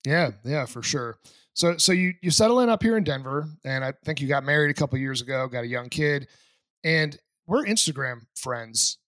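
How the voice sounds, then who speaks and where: A man's voice: fairly high pitch, lively intonation, moderate pace, a bit bright, dry recording. A man hosting a podcast interview, asking rambling, chatty questions. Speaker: podcast host. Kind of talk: casual interview.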